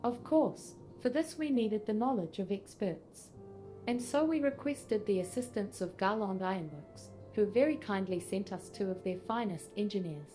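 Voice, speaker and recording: A woman's voice: medium pitch, lively intonation, normal speed, dark tone, close to an everyday voice. A synthetic female voice playing a gracious, formal leader of an order in a fantasy game, speaking politely. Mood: welcoming. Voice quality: synthetic text-to-speech delivery. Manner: polite, formal, archaic wording. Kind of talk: scripted fantasy game dialogue. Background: faint music.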